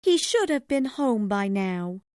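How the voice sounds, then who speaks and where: A woman voicing a gentle, careworn mother who speaks softly and tenderly while putting on brave cheer in a storybook reading. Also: medium pitch, very animated, unhurried, no room sound, clean studio sound, pitched above the performer's own voice.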